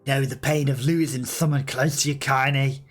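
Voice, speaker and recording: A man voicing a gruff, vulgar old grandmother who talks bluntly and scolds. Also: mid-range voice, fairly even intonation, normal speed, soft background music, pitched above the performer's own voice, natural voice. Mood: stern.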